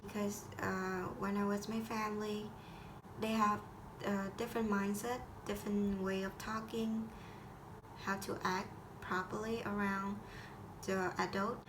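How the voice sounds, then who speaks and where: A teenage girl from Vietnam with a Vietnamese accent, speaking English as a second language in a halting school assignment talk full of restarts. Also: mid-range voice, little pitch movement, background noise. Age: teens. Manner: halting, with frequent restarts and grammar slips. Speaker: high school student.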